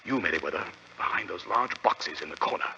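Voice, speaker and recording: An actor playing a commanding, sardonic British detective in a 1940s radio drama, crisp and theatrical, on an old recording. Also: male voice, sits low, animated, brisk, bright, forceful tone, big-room sound, muffled recording, slight background noise, full character voice. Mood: tense, commanding. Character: commanding, sharp-witted male London detective, sardonic and cool-headed.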